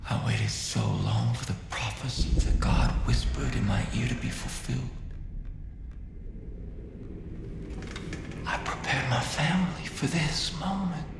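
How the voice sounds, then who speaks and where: An actor playing a calm, menacing doomsday cult leader in a video game cutscene, solemn and preacher-like, with a gravelly, hushed delivery. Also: male voice, mid-range voice, moderate pace, hardly any pauses, music in the background. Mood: solemn, wounded. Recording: roomy reverberant sound with background noise.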